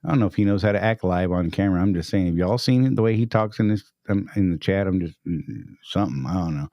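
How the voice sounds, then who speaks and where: A man's voice: sits low, fast, dark tone, dry recording. A man hosting a casual live stream, upbeat and greeting chat viewers by name.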